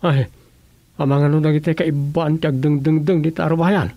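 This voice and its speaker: A man's voice: a little high, level tone, normal speed, mellow, close to an everyday voice. A man playing a lovesick, plaintive village husband who mutters to himself in a radio comedy drama. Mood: drowsy musing.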